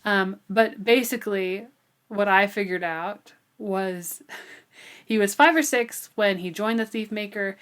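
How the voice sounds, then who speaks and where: A woman's voice: mid-range voice, a little slow, a bit bright. A woman with an American accent co-hosting a podcast, talking about a book in a chatty, amused, informal way. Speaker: podcast co-host. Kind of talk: casual book discussion.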